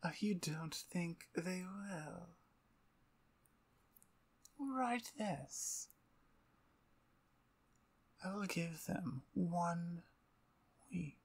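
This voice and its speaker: A woman playing a dominant, playful vampire conqueror in an ASMR roleplay, with a breathy, near-whispered voice and an imperious, taunting manner. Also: on the low side, lively intonation, extremely slow, pauses often, heavily acted. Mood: commanding.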